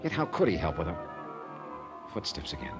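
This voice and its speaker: Take-charge radio private investigator: low, clipped, terse, with clear music in the recording. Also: male voice, big pitch swings, brisk. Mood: tense narration. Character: hard-boiled, brisk insurance investigator, take-charge. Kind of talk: 1950s radio detective drama, narration and dialogue.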